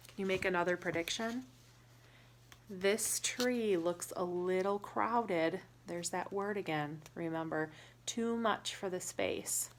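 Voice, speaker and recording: A woman reading a picture book aloud to children in a teacherly way, pausing to ask questions and explain words simply. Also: lowish voice, a little hiss or hum.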